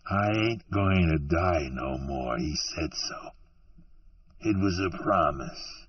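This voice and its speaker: A man's voice: low-pitched, lively intonation, far from the mic, muffled recording, exaggerated voice. A man doing a weepy broken drifter. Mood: pleading. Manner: slow, pleading, rustic grammar. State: tearful.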